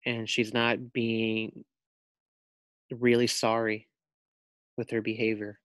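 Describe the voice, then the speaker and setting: A man reading a children's picture book aloud as a teacher, expressively, pausing to put questions to his young listeners. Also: medium pitch, monotone, a bit bright, clean tone, no room sound.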